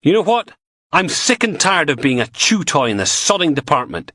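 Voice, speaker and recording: A man's voice: deep voice, normal speed, fairly bright tone, no echo, studio quality. A man voicing a tired, sarcastic male detective sergeant. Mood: weary. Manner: sardonic, defiant.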